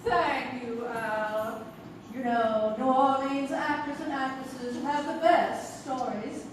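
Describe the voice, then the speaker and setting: High, theatrical veteran stage actress. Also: female voice, fairly even intonation, takes it slowly. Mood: fond, gossipy. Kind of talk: 1960s interview reminiscence, live radio-theatre acting. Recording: echoey, noisy stage recording from far off.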